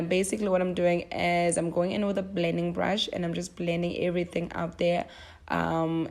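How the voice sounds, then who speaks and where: A young woman talks casually through her makeup routine, voice rough and gravelly from flu. Her voice sits on the low side, and she explains each step in a chatty, self-deprecating way. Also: darkish tone. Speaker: beauty vlogger. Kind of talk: casual makeup tutorial narration.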